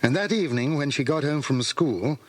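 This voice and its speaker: Casting: man, children's story narrator, husky tone with lively, expressive pitch movement. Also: normal speed.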